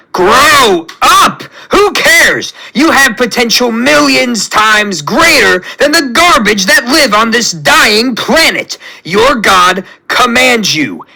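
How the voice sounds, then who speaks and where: A man voicing a megalomaniac villain scientist who sees himself as a god, with grandiose, drawn-out commands, in a superhero story. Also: sits high, very animated, bright, forceful tone, heavily acted, poor audio. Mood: enraged.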